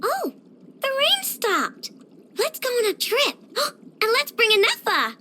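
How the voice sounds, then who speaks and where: Very high, excitable little girl inventor. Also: measured pace, slight background noise, exaggerated voice. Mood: excited. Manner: childish, playful, bossy, dramatic. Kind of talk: video game character voice lines.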